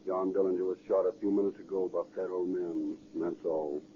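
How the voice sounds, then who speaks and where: An actor playing a businesslike police radio dispatcher in a 1930s radio drama, reading bulletins in a clipped monotone. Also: male voice, low voice, fairly quick, loud music behind the voice, close to an everyday voice. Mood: flat, official. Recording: dull, noisy 1930s police radio broadcast.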